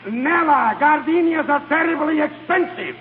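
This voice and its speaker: A fretful, pleading Italian man, pitched very high in his agitation. He fusses like a long-suffering companion in a 1930s radio melodrama, with a reverberant, tinny broadcast sound. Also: moderate pace. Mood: exasperated. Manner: pleading, exasperated. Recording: echoey, noisy tinny 1930s radio recording.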